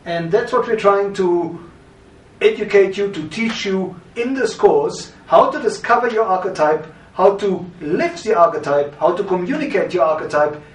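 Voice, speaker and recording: Middle-aged man, German accent, fairly high voice, measured explainer, echoey room, mic set back. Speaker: course presenter. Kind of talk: scripted course introduction. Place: studio with green-screen backdrop. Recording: roomy, from a distance.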